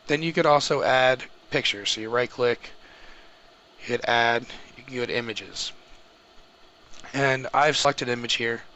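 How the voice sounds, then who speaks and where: Man, casual tutorial host, bright forward voice, informal step-by-step explaining, noisy home recording. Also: mid-range voice. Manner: informal, explanatory, addressing viewers directly.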